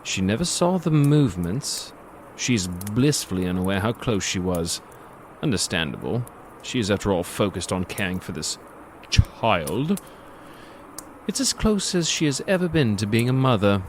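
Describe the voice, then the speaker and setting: An actor playing a gloating predatory monster who narrates a dark fantasy audio drama in a theatrical, savoring, sardonic manner. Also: male voice, lowish voice, sing-song, normal speed, slight background noise. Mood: dark, mocking.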